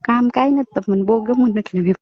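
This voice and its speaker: A woman's voice: a little high, racing. A woman chatting casually on a phone call, coy and evasive, on a lo-fi recording. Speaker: phone caller. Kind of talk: casual private phone chat.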